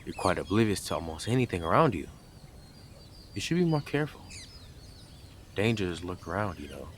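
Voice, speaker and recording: A man acting a deep-voiced, smug yandere intruder. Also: sing-song, moderate pace, a little hiss or hum. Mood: taunting, matter-of-fact. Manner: blunt, taunting, sarcastic, with dramatic emphasis and scoffs. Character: blunt, smug, obsessive young male yandere neighbour, mocking intruder. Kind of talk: scripted audio roleplay addressed to listener.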